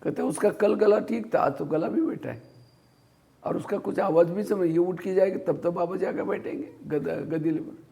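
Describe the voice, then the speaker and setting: A man's voice: high voice, normal speed, gravelly, warm tone. A man giving a spiritual class, telling anecdotes and asking rhetorical questions that he answers himself. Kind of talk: Hindi spiritual discourse.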